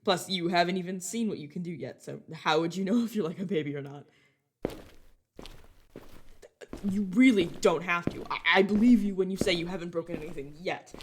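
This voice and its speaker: A woman's voice: on the low side, quick pace, few pauses, husky. A woman playing a casual, reassuring vampire guide in an audio roleplay, talking informally to the listener as a mentor to a newcomer. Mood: teasing, defensive. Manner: chatty, informal, self-correcting, reassuring.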